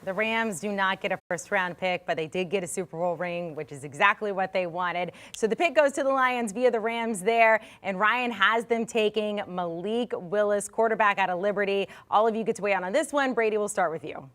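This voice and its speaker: A woman hosting a studio sports show, briskly setting up each pick and handing off to the analysts. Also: medium pitch, a bit bright. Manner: brisk, light segment handoffs. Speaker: show host.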